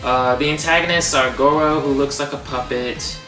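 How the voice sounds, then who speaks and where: A man's voice: medium pitch, steady tone, normal speed. A young man hosting a comedic movie review, sarcastic and exasperated. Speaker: host. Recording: echoey, distant, under music.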